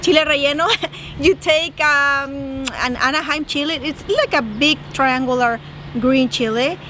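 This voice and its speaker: High-voiced Mexican woman on the street. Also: a little slow, bright, forceful tone. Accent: Mexican Spanish. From northern Mexico. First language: Spanish. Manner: chatty, explains at length with restarts. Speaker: interviewee. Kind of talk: casual street interview. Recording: outdoor street noise.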